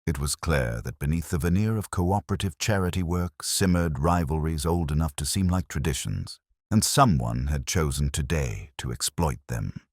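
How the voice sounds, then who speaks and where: Really deep husky guy reading a mystery story, measured, voice moves around a lot. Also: lively intonation, no room sound, studio quality. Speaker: narrator. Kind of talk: scripted mystery story narration.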